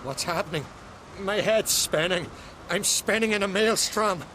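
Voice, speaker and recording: A man playing a tormented, disoriented island lord in a fantasy video game, drained and halting in his speech. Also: sits high, background noise. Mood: dazed, bewildered. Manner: bewildered, halting. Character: dazed, tormented male island lord freed from a curse.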